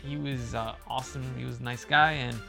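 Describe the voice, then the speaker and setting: Gravelly, bright-voiced man rambling casually. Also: fairly even intonation, a little fast, faint music behind. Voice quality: loud booming voice. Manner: rambling, repeats himself, many fillers and restarts. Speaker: wrestling fan commentator. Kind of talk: casual monologue.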